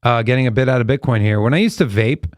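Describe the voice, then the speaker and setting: A man's voice: medium pitch, fast talker, dry recording, clean studio sound. A man co-hosting a live trading stream, talking in a blunt, easygoing way and swearing casually. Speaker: co-host of a crypto trading stream.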